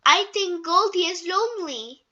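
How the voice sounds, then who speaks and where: Woman as an outspoken high-voiced little girl pig. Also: lively intonation, unhurried, bright, forceful tone, lo-fi sound, exaggerated voice. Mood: curious, concerned. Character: outspoken young girl pig, curious and decided.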